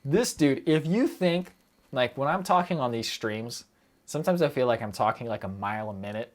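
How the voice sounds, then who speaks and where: A young man chatting casually on a live stream, rambling quickly and breaking off his own sentences as he answers viewers. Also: mid-range voice, lively intonation. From United States. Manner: rambling, quick, self-interrupting with fillers and laughs.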